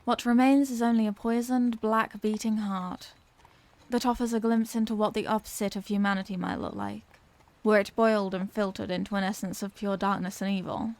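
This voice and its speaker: A woman's voice: mid-range voice, steady tone, brisk, a little mellow, natural voice. A woman voicing an anguished, tender Victorian lady vampire in an intimate audio roleplay, speaking in formal, earnest phrases. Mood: grave, confessional. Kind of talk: scripted audio roleplay, intimate dramatic monologue.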